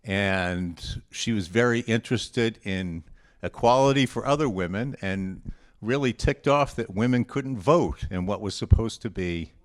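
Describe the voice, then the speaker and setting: Man, lowish pitch, quiz presenter, reading quotes aloud, informal commentary, deliberate and conversational delivery.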